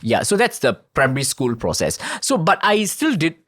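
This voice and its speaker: A man's voice: fairly high pitch, wildly swooping pitch, moderate pace, fairly bright tone, slightly rough, dry recording, studio quality. A man with a Tamil mother tongue, a teacher telling stories animatedly on a podcast and laughing often. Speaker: English teacher. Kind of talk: casual podcast conversation.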